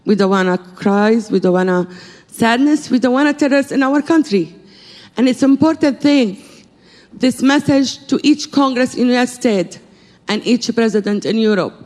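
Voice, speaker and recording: A woman's voice: fairly high pitch, moderate pace, darkish tone. A middle-aged woman from Syria, making an earnest, pleading appeal from the floor of a conference in broken English. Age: middle-aged or older. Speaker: audience speaker. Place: conference hall. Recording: reverberant hall.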